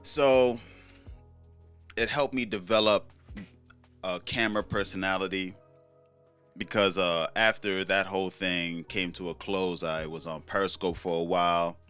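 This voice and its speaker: Young male podcast host from Trinidad, very low voice, loose rambling delivery, faint music underneath. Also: fairly even intonation, a little slow, long pauses, fairly bright tone, smooth. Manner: laid-back, rambling, profane, many fillers. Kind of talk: casual solo commentary.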